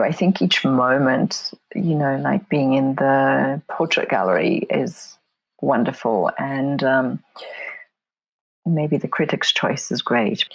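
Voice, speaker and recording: A middle-aged woman from Poland, an interview guest talking reflectively in a soft, thoughtful way that often drifts into whispery asides. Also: rumbling low, creaky, breathy edge, dry recording, lo-fi sound. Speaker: interview guest, photomedia artist.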